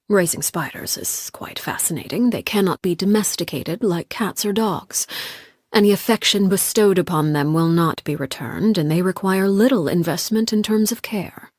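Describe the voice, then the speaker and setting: An actress playing a cold, composed, menacing female commander in a video game, speaking in a measured, formal, politely threatening way with a breathy voice. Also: on the low side, darkish tone, no room sound, clean studio sound. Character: cold, composed, menacing woman commander called Father by orphans. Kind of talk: scripted video game character voice lines.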